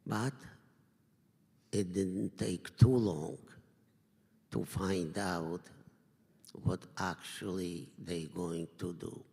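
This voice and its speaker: An older man from Poland, giving a survivor's testimony in slow, measured, halting phrases. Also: sits low, steady tone, gravelly, darkish tone, breathy edge. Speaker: Holocaust survivor speaker. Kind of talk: personal testimony talk. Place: synagogue hall. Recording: reverberant hall.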